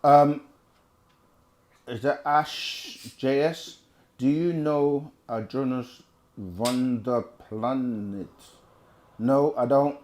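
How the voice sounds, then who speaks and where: A man's voice: medium pitch, drawn-out words, long pauses, a little mellow. A man hosting a live question-and-answer stream, casually reading viewer questions aloud and answering them at length. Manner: conversational, repeats himself, reads chat aloud.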